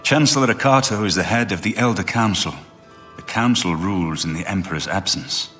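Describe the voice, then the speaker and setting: Solemn, low-voiced heir to the throne. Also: male voice, a bit bright, faint music behind. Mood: grave, determined. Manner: earnest, measured, formal. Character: solemn, resolute male heir to an imperial throne. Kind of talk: video game dramatic dialogue.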